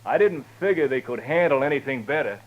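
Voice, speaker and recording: A man playing a folksy, lively stable keeper. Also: medium pitch, fairly quick, highs cut off, natural voice. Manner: folksy, drawling. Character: folksy, chatty male livery stable keeper. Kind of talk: 1950s radio drama acting. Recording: old radio broadcast with faint hiss.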